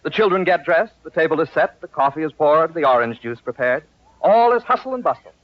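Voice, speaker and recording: A male narrator of an old radio comedy, fairly high in pitch and very lively in his scripted delivery. The recording is dull, with faint noise. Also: a little fast.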